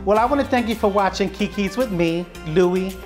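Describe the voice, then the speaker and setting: A man's voice: high-pitched, normal speed, a little mellow, soft background music. A man from Philadelphia hosting an interview show, asking questions and closing the episode with a toast and sign-off. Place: apartment kitchen, indoors.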